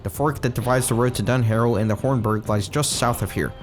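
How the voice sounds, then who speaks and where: A man reading the lines of a worried leader of a crowded refugee village, in a solemn, plain reading voice at middle pitch. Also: a little fast, soft background music, natural voice. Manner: solemn, plainly read quest text.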